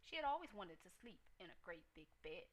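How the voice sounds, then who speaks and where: A woman storyteller giving an expressive narration of a children's tale. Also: on the low side, brisk.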